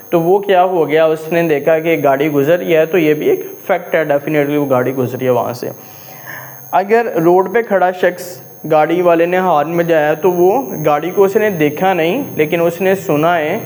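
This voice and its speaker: A man's voice: fairly high pitch, poor audio. A man with a Pakistani accent teaching law in a classroom lecture style, reading clauses out word by word and then explaining them with frequent check-in tags. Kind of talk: classroom-style explanatory lecture mixing Urdu with English legal terms.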